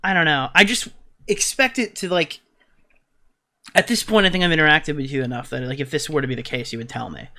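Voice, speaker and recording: A young man with a fairly high, bright and forceful voice speaks casually on a call. He presses and pleads in a halting, sweary way.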